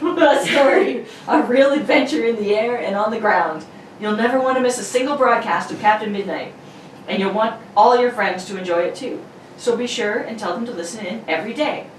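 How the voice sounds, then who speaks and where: Higher-pitched playful woman in a roomy recording. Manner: playful, giggly asides. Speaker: host and announcer. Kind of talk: jokey introduction and old-radio script reading. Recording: roomy, distant mic with faint noise.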